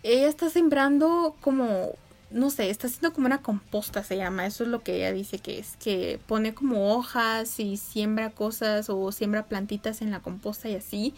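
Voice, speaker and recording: A woman's voice: medium pitch, steady tone, normal speed, darkish tone. A young woman, chatting casually and upbeat to her viewers at home. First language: Spanish. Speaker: vlogger. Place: home room with neighbors' animals outside.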